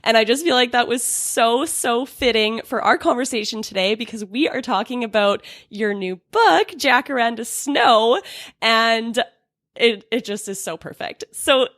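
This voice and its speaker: An upbeat woman hosts a podcast with a fairly high voice. Her sound is close and dry, with no room echo. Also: a bit bright. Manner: upbeat and welcoming, quick to laugh. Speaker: podcast host. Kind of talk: conversational podcast interview.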